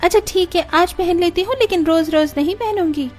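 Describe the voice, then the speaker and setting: A woman's voice: very high-pitched, a little fast, darkish tone, faint music behind. A woman playing a spoiled, defiant young rich bride in a family story, snappy and complaining. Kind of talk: dramatised Hindi family story acting.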